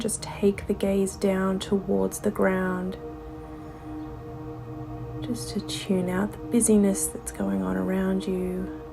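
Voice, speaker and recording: A woman leading a guided meditation as a yoga teacher, soothing and unhurried, her soft voice often falling away toward a whisper. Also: mid-range voice, creaky. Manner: unhurried instruction with gentle pauses.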